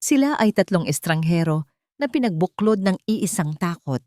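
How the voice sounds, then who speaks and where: A woman's voice: on the low side, expressive, normal speed, a little mellow, dry recording, studio quality. A woman with a Filipino accent reading a dramatic scripted story as its narrator.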